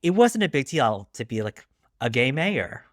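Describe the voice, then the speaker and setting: A man chatting casually as an interview guest, animated and self-deprecating, often quoting his own thoughts. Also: mid-range voice, moderate pace, no room sound, studio quality. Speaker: guest author discussing his debut novel.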